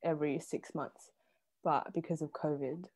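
A woman's voice: sits low, steady tone, moderate pace, darkish tone. Giggly young woman from Taiwan. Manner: chatty, giggly, lots of "like". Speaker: student podcast co-host. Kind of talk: casual chat.

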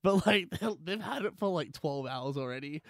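Male Australian podcast co-host, slightly high pitch, dry sound, loose comedic banter. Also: expressive, moderate pace, a bit bright, no echo. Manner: jokey, exaggerated mimicry and riffing. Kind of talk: casual comedic chat.

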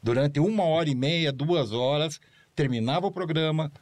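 Fond, animated man telling stories. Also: fairly high pitch, normal speed, no room sound. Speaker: former producer, studio guest. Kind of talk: studio chat.

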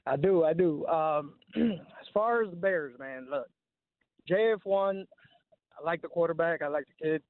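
A man's voice: fairly high pitch, measured pace, dry recording. A man phoning a radio show on a tinny line, chatting casually about sports. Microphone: phone.

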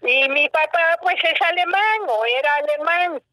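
Older lady from guatemala, bright higher voice, dry sound, chatty and playful. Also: a little slow, dry recording. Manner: warm storytelling, animated, playful. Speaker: guest, children's writer. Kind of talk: casual interview.

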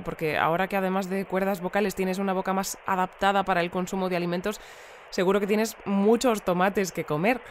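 A woman's voice: mid-range voice, a little hiss or hum, lightly acted. A woman playing a polite, earnest news presenter who interviews and explains wordily in an audio drama. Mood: polite, awkward. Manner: courteous, wordy, explanatory.

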